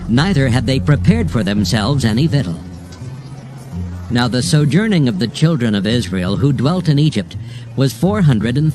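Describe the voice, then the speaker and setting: A man narrating dramatized scripture in a solemn, declamatory manner, with music behind him. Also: mid-range voice. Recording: music bed under narration.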